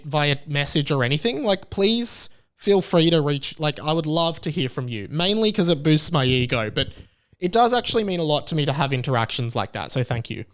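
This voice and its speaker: A man's voice: a little high, clear, resonant tone. An Australian man hosting a casual solo podcast, rambling and self-deprecating, with many filler likes. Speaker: podcast host.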